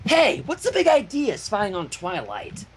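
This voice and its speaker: A man's voice: high-pitched, wildly swooping pitch, a little slow, pitched above the performer's own voice, exaggerated voice. A man voicing a brash, tomboyish female pegasus pony who scolds youngsters, on a noisy home recording. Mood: stern.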